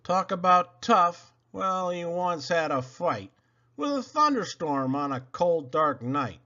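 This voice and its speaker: A man reading storybook poetry aloud to children in a theatrical, expressive way with dramatic pauses. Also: high-pitched, dull audio. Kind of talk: animated storybook read-aloud.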